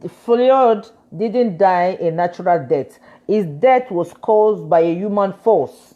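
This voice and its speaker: Earnest woman, mellow dull-sounding voice. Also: medium pitch. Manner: earnest, switches between French and English; insistent, repeats key phrases. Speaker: lawyer. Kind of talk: casual commentary.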